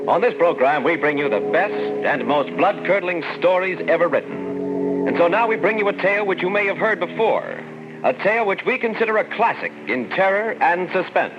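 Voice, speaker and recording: A man's voice: high-pitched, very animated, moderate pace. A man announcing a radio programme in a formal, theatrical 1940s style. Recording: muffled old radio recording. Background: loud music.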